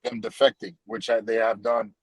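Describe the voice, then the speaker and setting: A man's voice: on the low side, level tone, moderate pace, low-quality recording. A male podcast guest speaking frankly and matter-of-factly. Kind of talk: casual podcast chat.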